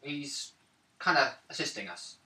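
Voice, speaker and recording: Male tabletop gamer, casual role-play talk, piercingly bright tone, distant roomy recording. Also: medium pitch, lively intonation, a little slow. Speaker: player in a tabletop role-playing game. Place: indoor room around a gaming table. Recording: echoey room, distant mic, faint noise.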